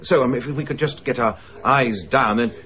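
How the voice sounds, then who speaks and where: An actor playing a courteous, slightly pompous former army colonel in a radio drama, with a British accent and a fussy, hesitant manner. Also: male voice, medium pitch, moderate pace, a bit bright, highs cut off, lightly acted. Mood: polite, awkward.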